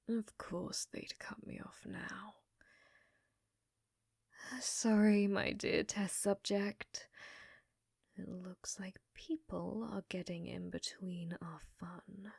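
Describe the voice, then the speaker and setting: Woman acting as breathy, obsessive lady scientist. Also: mid-range voice, steady tone, extremely slow, pauses often, no echo, clean studio sound, full character voice. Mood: fascinated, lingering. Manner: hushed, breathy, often whispering; clingy, clinical yet flirtatious. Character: unhinged, infatuated female scientist studying a captive alien. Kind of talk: ASMR roleplay acting.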